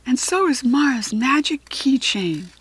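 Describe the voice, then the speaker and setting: A woman's voice: high-pitched, expressive, a little slow, slightly rough, dry recording, exaggerated voice. A woman voicing brave, earnest fairy girls in a children's story, with an urgent, reassuring manner. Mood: worried.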